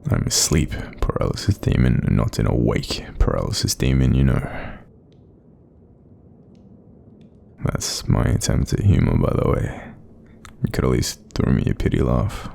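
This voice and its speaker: An Australian man voicing a kindly, apologetic sleep paralysis demon in an intimate audio roleplay, soft-spoken, hesitant and breathy, gently reassuring the listener. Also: lively intonation, normal speed, slight background noise, heavily acted. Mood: wry, gentle. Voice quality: very deep, breathy, creaky.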